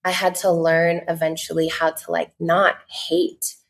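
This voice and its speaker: Woman, twenties, lower pitch, earnest, casual interview guest. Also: level tone, a little slow, vocal fry. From United States. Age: 20s. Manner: chatty, many "like" fillers, earnest. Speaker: podcast guest, content creator.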